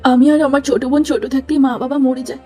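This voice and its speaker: A woman voicing a humble, long-suffering poor young woman in a cartoon, gentle and plaintive. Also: high voice, little pitch movement, rapid-fire, faint music behind, natural voice. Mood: sorrowful. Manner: plaintive, pleading.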